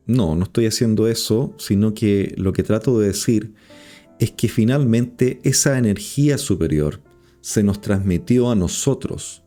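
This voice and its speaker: Low, mellow man musing aloud. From Chile. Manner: measured, musing, with rhetorical questions and hums. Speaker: podcast host. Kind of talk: reflective monologue.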